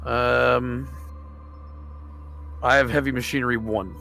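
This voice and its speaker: A man's voice: medium pitch, steady tone, slow, creaky, sharp tone, soft background music. A man playing a tabletop roleplaying game, chatting casually with the group.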